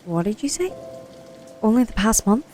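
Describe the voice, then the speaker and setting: An actress playing a young, easygoing college student in an audio drama, with a conversational manner. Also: female voice, on the high side, big pitch swings, moderate pace, a little mellow, faint music behind. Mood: casual, reassuring. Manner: casual, conversational.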